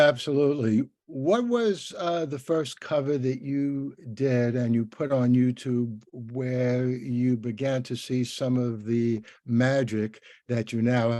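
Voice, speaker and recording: Casting: older man as interview host, effusive and discursive, with a relaxed dark tone and very dry sound. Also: medium pitch, no echo. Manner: effusive, rambling. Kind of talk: conversational interview.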